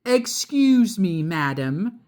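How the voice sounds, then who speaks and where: A woman's voice: medium pitch, big pitch swings, slow, a little mellow. Woman putting on a grumbly, pompous papa bear. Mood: politely insistent. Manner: grumbly, emphatic, self-important. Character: grumbling, indignant father bear, pompous and set in his ways.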